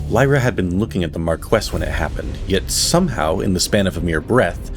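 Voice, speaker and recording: A man's voice: deep voice, expressive, fairly quick, loud music behind the voice. A man playing a solemn storyteller, giving dramatic scripted narration for a fantasy audio drama. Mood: tense, dramatic.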